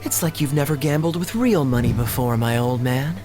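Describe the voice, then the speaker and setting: An actor playing a carefree, condescending rich gambler in a video game, with a nonchalant, teasing manner. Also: male voice, mid-range voice, a little fast, over music, close to an everyday voice. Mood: mocking, bored. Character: smug, carefree rich male gambler, breezily taunting.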